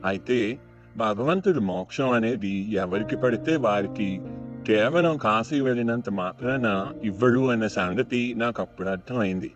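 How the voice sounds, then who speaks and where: A man's voice: lowish voice, unhurried, natural voice. A man playing a repentant, once-greedy village moneylender, explaining himself earnestly and reflectively in a moral story. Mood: humbled. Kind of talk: dramatized moral story dialogue. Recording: dull lo-fi audio under plucked-string music.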